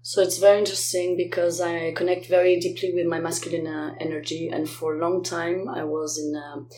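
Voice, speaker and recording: A woman speaking as a guest singer in a reflective interview conversation, often halting as she searches for words. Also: fairly low pitch, level tone, a little mellow. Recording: roomy echo, faint background noise.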